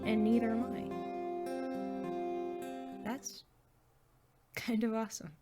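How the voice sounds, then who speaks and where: A woman's voice: on the high side, flat delivery, takes it slowly, music in the background. A woman playing a cynical, nerdy teenage secret superhero in an understated, naturalistic audio drama style. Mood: wistful, reflective. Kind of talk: naturalistic audio drama acting with first-person narration.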